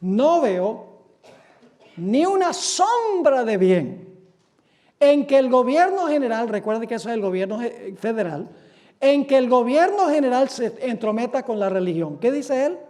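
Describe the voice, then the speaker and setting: Brief: male pastor from the US, strikingly high voice, emphatic sermon delivery with rhetorical questions. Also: very animated, darkish tone. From United States. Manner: emphatic, asks rhetorical questions and answers them; reads quotations aloud slowly. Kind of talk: sermon lecture.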